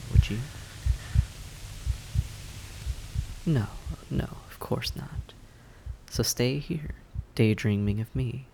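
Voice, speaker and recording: A man playing a suave, predatory vampire in an audio roleplay, speaking in deliberate, theatrical phrases with teasing condescension. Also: medium pitch, creaky, warm tone, slight background noise, natural voice, low-quality recording. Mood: gently mocking. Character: suave, predatory male vampire toying with his prey. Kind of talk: scripted roleplay audio drama monologue.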